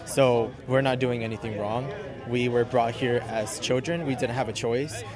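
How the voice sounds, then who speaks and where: A man's voice: mid-range voice, steady tone, moderate pace. A young man, a student, answering a news interview in a casual way. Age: young adult. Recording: outdoor crowd chatter behind.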